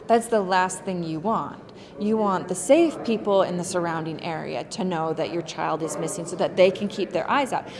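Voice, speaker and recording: A woman's voice: fairly low pitch, moderate pace, a crowd in the background. Earnest woman speaking in a reverberant hall. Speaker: speaker at a press event. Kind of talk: earnest public speech.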